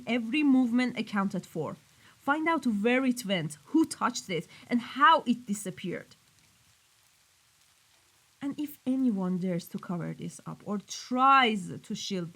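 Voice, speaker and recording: A woman's voice: mid-range voice, a bit bright. Woman as mafia boss, female, cold, menacing, accusatory, commanding, audio drama. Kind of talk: scripted audio roleplay drama.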